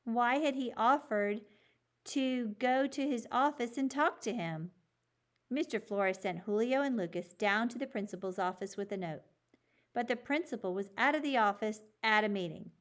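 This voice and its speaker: A woman reading a children's book aloud in a measured, clearly phrased storytelling delivery. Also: on the high side.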